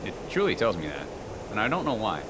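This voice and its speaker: A man's voice: on the low side, wildly swooping pitch, fast talker. A man joking wryly about a flight sim game during a stream. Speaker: stream guest. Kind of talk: casual gaming chat. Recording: noisy voice chat audio.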